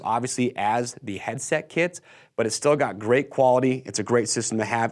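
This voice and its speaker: American man presenting gear, enthusiastic and conversational. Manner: enthusiastic, conversational sales pitch. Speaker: product video presenter. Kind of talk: casual product walkthrough to camera. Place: indoor workshop-style studio set.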